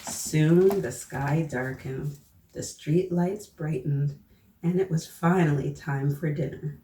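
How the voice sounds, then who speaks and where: A woman with a mellow, lowish voice reads a picture book to children at an unhurried pace. She turns to her young listeners with questions as she goes. The sound is roomy and a little far from the mic. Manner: gently prompting listeners with questions. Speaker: children's storybook reader and host. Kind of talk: read-aloud storytelling with asides to children. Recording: roomy echo, distant mic, faint noise.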